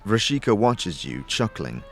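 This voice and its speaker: Low-voiced, expressive male fantasy narrator. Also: unhurried, vocal fry, soft background music. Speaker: narrator, game-story performer. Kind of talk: dramatic second-person fantasy narration.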